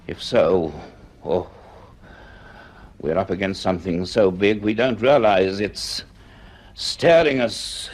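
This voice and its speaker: An actor playing a grave, cultured middle-aged professor in a vintage radio drama, speaking in a measured, dramatic way. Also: male voice, sits low. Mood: wondering, alarmed. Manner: measured, deliberate, dramatic delivery. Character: grave, cultured male professor narrating his dreadful discovery.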